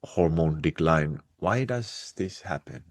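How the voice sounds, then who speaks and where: A man's voice: a bass voice, lively intonation, slow. A man from Finland with a Finnish accent, hosting a podcast and asking questions hesitantly. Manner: hesitant, many fillers and restarts. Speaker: podcast host.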